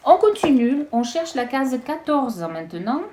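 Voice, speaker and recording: A woman chatting casually as she unboxes beauty products, hesitant and halting with frequent restarts. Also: sits high, sing-song, mellow, slight background noise. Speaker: beauty vlogger.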